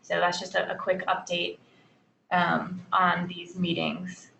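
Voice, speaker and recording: A woman hosting an online meeting, giving casual updates in a relaxed, informal way with frequent fillers, on a video call. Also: lowish voice, slow pace, creaky. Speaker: meeting host. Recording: dull, echoey, faint noise.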